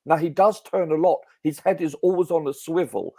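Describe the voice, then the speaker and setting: A man's voice: fairly high pitch, fairly quick, dark tone, dry recording. A man arguing emphatically and pedantically in a fan debate over a video call. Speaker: podcast panelist.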